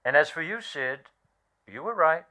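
A man in a radio play acting an earnest, regretful conspirator who justifies himself haltingly and dramatically. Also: on the high side, expressive, pushed and bright. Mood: grave, accusing.

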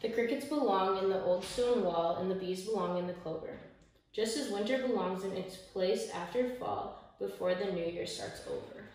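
A woman's voice: mid-range voice, normal speed, a little mellow. Echoey young woman reading a picture book. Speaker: story time reader. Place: small indoor room, seated by a window. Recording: echoey room, distant mic, faint noise.

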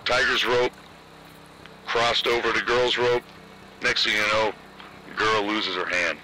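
A man playing a rambling video game guard, telling a tale in a casual, halting, deadpan way. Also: on the low side, a little hiss or hum, full character voice, rough recording. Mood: casual, amused. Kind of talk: naturalistic video game acting.

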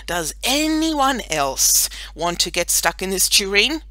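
A woman's voice: medium pitch, very animated, slow, pushed and bright, no room sound, pitched above the performer's own voice, heavily acted. A woman voicing an exasperated, thrifty farmer father in a storybook.

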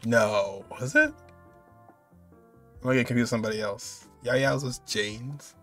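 A man's voice: mid-range voice, moderate pace, sharp tone. A man in his twenties giving casual, amused reaction commentary as a video host, with muttered exclamations. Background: music from the video he is watching.

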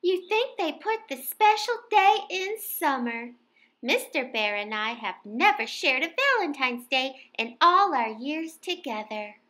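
Woman reading as a fond lady bear, bright high voice, sweet, eager, storybook. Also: measured pace, full character voice. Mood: wistful. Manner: sweet and excited. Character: fond, doting female bear, eager wife. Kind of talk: storybook character voice.

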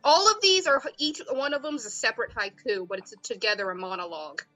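A woman's voice: a little high, fast talker, pushed and bright, soft background music. A woman who made the game, chatting casually and giggling with the players. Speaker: game maker and co-host. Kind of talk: casual gaming chat.

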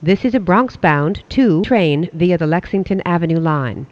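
A transit announcer reading scripted subway train announcements in a formal, measured way. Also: medium pitch, animated. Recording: dull, lo-fi audio.

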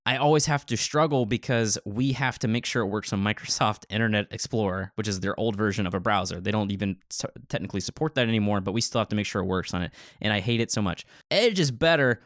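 A man's voice: fairly low pitch, fast, few pauses, fairly bright tone, no echo, clean studio sound. A man co-hosting a podcast in casual tech discussion, talking in a rambling, opinionated way. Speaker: podcast co-host and web developer.

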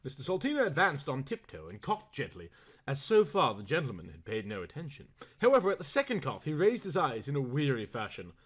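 A man reading a storybook aloud in a theatrical, expressive way. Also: mid-range voice, fairly quick, husky. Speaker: audiobook reader. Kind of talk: dramatized storybook narration.

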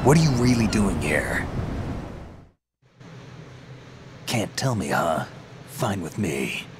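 Deep, gruff veteran soldier. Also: male voice, big pitch swings, a little slow, background noise. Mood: probing, gruff. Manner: terse, dry, matter-of-fact. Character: gruff, world-weary male veteran soldier, cynical and knowing. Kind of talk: video game cutscene acting.

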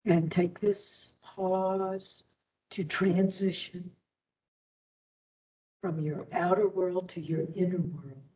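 Woman, guided meditation, low-pitched, unhurried and soothing, long pauses, recorded at a distance from the microphone. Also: fairly even intonation, mellow. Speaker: meditation and yoga teacher.

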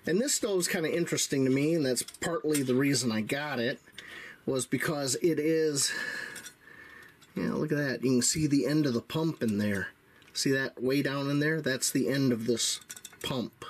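A middle-aged man explaining things in a casual, folksy, deliberate way, like a hands-on product reviewer. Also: mid-range voice.

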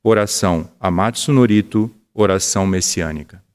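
A man in his forties opening a church service with a measured, solemn formal announcement at a lectern microphone. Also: low voice. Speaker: service leader. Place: wood-paneled church hall, at a lectern. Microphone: lectern mic. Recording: long hall reverb.

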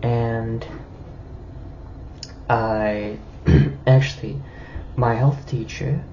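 A man's voice: medium pitch, steady tone, mellow. Hesitant young man on lo-fi phone audio. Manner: halting, hesitant, with fillers. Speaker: TikToker. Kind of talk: selfie-camera monologue. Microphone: phone held at arm's length. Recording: lo-fi phone audio with faint noise.

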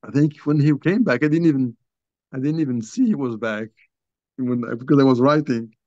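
Older algerian guy telling his story on a video call, halting with lots of restarts, dull dry sound. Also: medium pitch, dark tone, no room sound. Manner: halting, restarts often, many you-knows. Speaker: guest author. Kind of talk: conversational storytelling. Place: small home room, indoors.

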